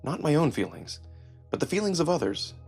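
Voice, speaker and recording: A man reading a visual novel in the first person as a theatrical, expressive narrator. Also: on the high side, fairly quick, gravelly. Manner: theatrical, expressive, acts out emotion. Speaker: narrator and performer. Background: music.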